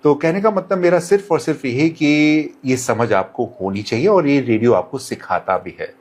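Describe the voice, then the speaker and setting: A man who works as a radio presenter, answering interview questions in measured, courteous, formal phrasing with English words mixed in. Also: medium pitch. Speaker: radio presenter, podcast guest.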